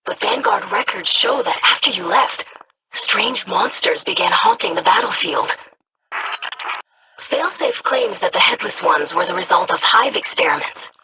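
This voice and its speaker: A woman's voice: medium pitch, expressive, unhurried, background noise, natural voice. A woman playing a composed, analytical commander who asks formal, probing questions in game voice lines. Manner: formal, inquiring tone.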